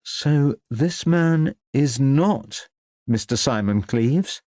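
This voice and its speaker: A man's voice: medium pitch, lively intonation, extremely slow, mellow, dry recording, studio quality, voice pushed up. A man playing a protesting village clergyman in an audiobook story, formal and hesitant. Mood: puzzled.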